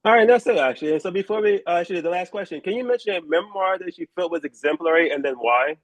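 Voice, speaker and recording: A man's voice: sits high, animated, quick pace, no room sound, dull audio. A man interviewing an author, asking questions in a casual, conversational way. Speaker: magazine interviewer.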